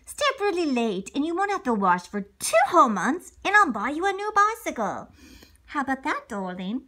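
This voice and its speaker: Woman playing a gushing, coaxing mother, very animated. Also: high voice, normal speed, heavily acted. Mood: cajoling. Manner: cajoling, gushing, dramatic. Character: doting, theatrical mother coaxing with extravagant bribes.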